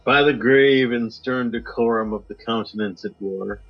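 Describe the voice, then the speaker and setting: A man co-hosting a podcast, giving a theatrical poetry reading that swings between loud highs and hushed drops. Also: moderate pace. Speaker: podcast co-host. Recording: slightly muffled with faint music.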